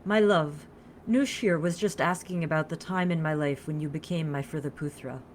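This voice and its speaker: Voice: mid-range voice, moderate pace, a little hiss or hum, lightly acted. A narrator voicing an elegant female vampire lover in a story, speaking in a caressing, formal, commanding way.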